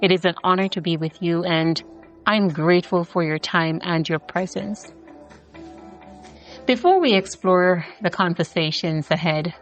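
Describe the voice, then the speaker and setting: A middle-aged woman from Jamaica with a Jamaican accent, hosting a podcast introduction in a measured, deliberate way, with rhythmic lists of words. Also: on the low side. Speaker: podcast host.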